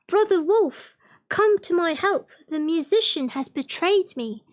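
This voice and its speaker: A betrayed fox from a fairy tale pleads desperately for help, acted out by a woman reader. The voice is high and raised in a cry. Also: expressive, a little slow.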